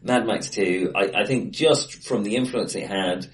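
A man's voice: on the low side, a little slow. Man rambling with many ums. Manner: rambling, lots of ums, frequent laughs. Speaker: podcast co-host. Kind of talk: casual film discussion. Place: acoustically bright room, face to face. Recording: bright room, voices a bit off-mic.

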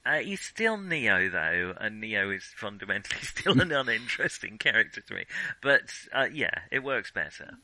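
A middle-aged man with a very bright, forward voice chats as a podcast co-host. His pitch moves in a lively way, and the sound is close and dry. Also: normal speed, no echo, poor audio. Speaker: podcast co-host discussing films. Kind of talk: casual discussion.

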